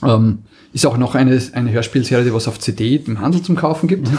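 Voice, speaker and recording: A man's voice: medium pitch, fairly quick, darkish tone. A man with an Austrian accent chatting casually as a podcast co-host, often reformulating as he goes.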